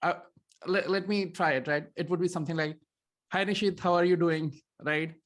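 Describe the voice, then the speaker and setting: A man's voice: a little high, steady tone, normal speed. A man from India co-hosting a casual video call, explaining things with many "uh"s and "right?"s.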